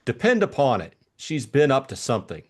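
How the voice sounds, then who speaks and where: Man playing a lively, lighthearted young gentleman. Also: medium pitch, fairly quick, breathy edge, voice pushed up. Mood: curious. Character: Jimmy, lighthearted young gentleman, teasing and incredulous. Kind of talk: audiobook character acting.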